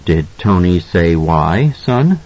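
A man's voice: low voice, very animated, a little slow, husky, heavily acted. A man reading as an uneasy, placating father. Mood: uneasy, questioning. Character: uneasy, remorseful father talking to his young son. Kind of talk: audiobook character voice. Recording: slightly dull, lo-fi audio.

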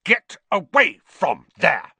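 A man's voice: a little high, wildly swooping pitch, normal speed, pushed and bright, husky, no echo, pitched above the performer's own voice, full character voice. A man voicing a pompous, indignant teenage school prefect who scolds tersely and fiercely in a storybook reading. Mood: scandalised. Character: pompous, indignant teenage boy prefect, officious older brother.

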